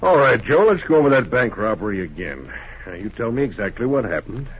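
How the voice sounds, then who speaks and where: An actor playing a plain-spoken, folksy small-town sheriff in an old western radio drama. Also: male voice, mid-range voice, very animated, loud music behind the voice, natural voice. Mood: questioning. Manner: folksy, deliberate questioning. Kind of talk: 1950s western radio acting. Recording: muffled, hissy old radio recording.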